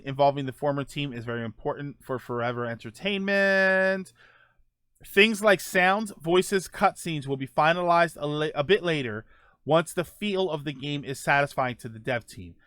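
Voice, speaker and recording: A man's voice: fairly high pitch, a bit bright, smooth, no echo. A man hosting a video game livestream, casually reading out news notes and dropping in informal asides.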